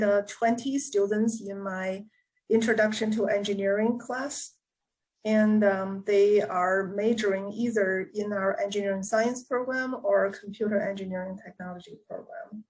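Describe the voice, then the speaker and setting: A woman's voice: medium pitch, steady tone, unhurried, a little mellow, low-quality recording. Hesitant American woman teaching engineering. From United States. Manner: hesitant, many ums and restarts. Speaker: community college engineering instructor. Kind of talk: video call presentation. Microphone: video call.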